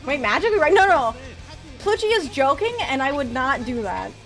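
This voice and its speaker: Excitable high-voiced woman streamer. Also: moderate pace, faint music behind, poor audio. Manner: chatty, excitable, cheers during races. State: losing her voice. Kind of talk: live game stream commentary with chat.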